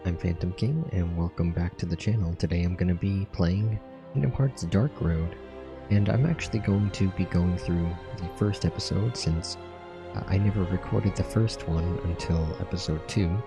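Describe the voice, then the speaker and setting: A man's voice: deep voice, moderate pace, vocal fry, over music. A man hosting a gaming channel, casually narrating and commenting as he plays through a game.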